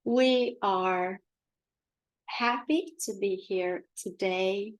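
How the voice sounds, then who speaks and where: A woman with a Romanian accent voicing a loving collective of star beings that speak as one, in a very slow, measured, solemn way. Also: mid-range voice, no room sound. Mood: serene. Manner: very slow, measured, solemn delivery with long pauses.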